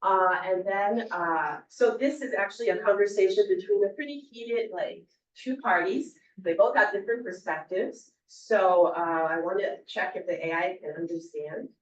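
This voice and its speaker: A woman's voice: mid-range voice, normal speed, few pauses. A woman researcher giving a conference talk, explaining her work with frequent ums and restarts. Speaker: researcher presenting. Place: lecture hall. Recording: echoey hall, distant mic, dull.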